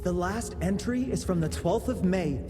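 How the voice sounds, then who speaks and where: A man voicing an excitable, nerdy young male tinkerer who rambles eagerly in a game cutscene. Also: high-pitched, normal speed, faint music behind, natural voice. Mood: excited.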